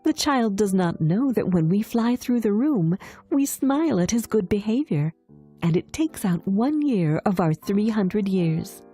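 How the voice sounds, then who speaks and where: Woman as soft, mellow storybook spirit. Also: medium pitch, expressive, unhurried, husky, soft background music. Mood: solemn. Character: gentle, solemn airy spirit. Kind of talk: storybook fairy tale acting.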